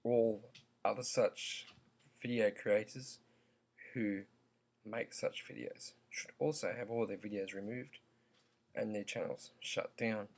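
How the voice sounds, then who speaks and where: A croaky-voiced man talks straight to his viewers at an unhurried, deliberate pace. He pauses often and fills the gaps with ums. His tone stays earnest throughout. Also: lowish voice, fairly even intonation. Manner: slow, halting, earnest, with many ums. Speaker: vlogger. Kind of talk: opinion vlog.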